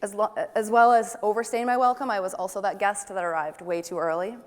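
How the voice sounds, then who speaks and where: A woman's voice: mid-range voice, fairly even intonation, fairly quick. A young woman giving a photography talk, chatty and enthusiastic and asking her audience questions. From Wisconsin, United States. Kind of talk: conference presentation to an audience. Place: large conference hall. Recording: long hall reverb.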